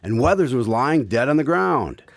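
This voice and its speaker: An actor playing a friendly, attentive listener who prompts a storyteller in a radio drama. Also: male voice, medium pitch, very animated, normal speed, gravelly, dry recording. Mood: amused prompting.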